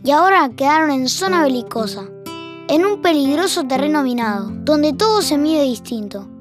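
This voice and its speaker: A young-sounding performer with an Argentine accent, narrating a scripted audio drama as a wry storyteller. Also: high-pitched. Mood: reflective. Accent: Argentine Rioplatense. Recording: music behind the narration.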